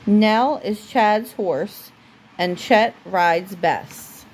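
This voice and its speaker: A woman reading a children's storybook aloud as a mother would at bedtime, with deliberate pauses. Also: mid-range voice, a little hiss or hum, rough recording.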